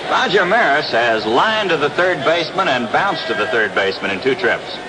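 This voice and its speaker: A man's voice: fairly high pitch, big pitch swings, normal speed, noisy background. An American man calling baseball play-by-play in the style of a 1960s radio broadcast, recorded outdoors at a ballpark. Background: loud stadium ambience.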